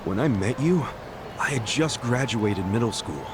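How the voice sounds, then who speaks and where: An actor voicing a brash, earnest young yakuza underling with rough, slangy speech in an English-dubbed game. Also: male voice, mid-range voice, lively intonation, unhurried, noisy background, natural voice. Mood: reflective. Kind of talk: English-dubbed game cutscene dialogue and narration.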